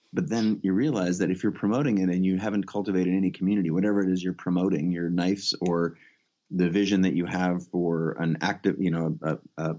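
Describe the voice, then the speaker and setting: A man's voice: deep voice, darkish tone, muffled recording. A man explaining his ideas in a conversational interview, emphatic and blunt in the way he talks. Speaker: interview guest, photographer and author.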